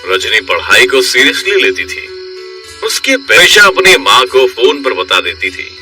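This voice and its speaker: A man's voice: mid-range voice, very animated, a little slow. A man reading scripted horror stories as a dramatic narrator. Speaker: story narrator. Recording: loud music bed, slightly distant voice.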